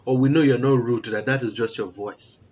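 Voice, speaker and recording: A man from Nigeria with a naturally loud voice, talking as an interview guest in an animated, emphatic way. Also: mid-range voice, brisk, muffled recording, slight background noise. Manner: animated, emphatic, repeats words for stress. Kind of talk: casual interview conversation.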